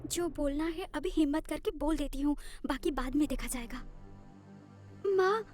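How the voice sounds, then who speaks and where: A woman voicing an excitable, pleading teenage college girl in a Hindi cartoon story. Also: high voice, moderate pace, soft background music, close to an everyday voice. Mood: hesitant, explaining.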